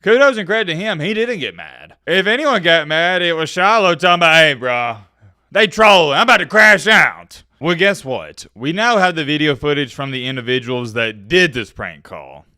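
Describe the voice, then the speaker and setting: A man hosting a reaction video, swinging lively through his pitch with a bright, forceful and very clear voice. He is slangy and opinionated, talking casually to viewers. The sound is dry and close. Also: moderate pace, no room sound.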